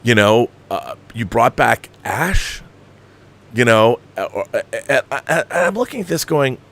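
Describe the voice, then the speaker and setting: A man's voice: medium pitch, expressive, a little slow, fairly bright tone. A man hosting a film commentary show, giving an opinionated, exasperated and emphatic review peppered with swearing. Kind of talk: opinionated movie review rant.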